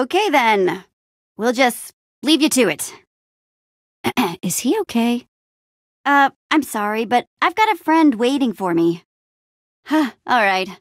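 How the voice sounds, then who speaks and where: A woman playing an upbeat young adventurer and town hero in a video game, friendly, casual, expressive and quippy. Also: a little high, no echo, studio quality, heavily acted. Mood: unsure, puzzled. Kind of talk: video game character acting.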